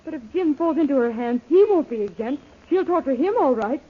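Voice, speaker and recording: An actress playing a worried, spirited young missionary's daughter, earnest and questioning, in a 1930s radio serial. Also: female voice, sits high, animated, fairly quick. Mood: anxious. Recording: tinny, noisy old radio recording.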